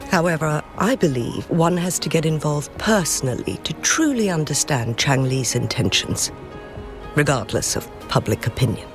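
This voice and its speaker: A man playing a courteous, composed townsman in a video game, speaking in a polite, measured, formal way. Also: on the high side, expressive, music in the background. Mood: courteous, thoughtful. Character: courteous, composed male town acquaintance, earnest, thoughtful.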